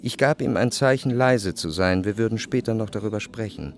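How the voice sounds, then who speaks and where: Radio drama narrator played by a man: observant society guest telling the story in a lowish voice. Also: brisk, natural voice. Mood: observant, composed. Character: observant first-person narrator, a salon guest. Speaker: first-person narrator.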